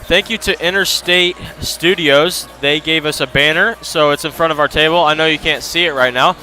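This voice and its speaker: A man with a Midwestern American accent calling a high school basketball webcast, chatting casually and thanking sponsors before tipoff. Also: normal speed, sharp tone, faint music behind. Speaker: sports webcast announcer. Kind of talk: casual pregame commentary.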